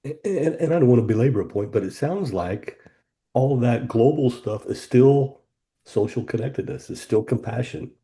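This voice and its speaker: A man's voice: medium pitch, normal speed, warm tone. A man co-hosting a podcast, affirming the guest and reflecting back what she says. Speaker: podcast co-host. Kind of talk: casual conversation.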